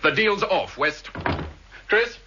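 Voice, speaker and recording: An actor in a vintage radio drama playing an agitated husband with an urgent, heated manner. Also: male voice, sits high, lively intonation, slow pace. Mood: angry, indignant. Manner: urgent, accusatory, emotionally heated. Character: agitated husband of a targeted woman, angry and fearful. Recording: muffled vintage radio recording, faint hiss.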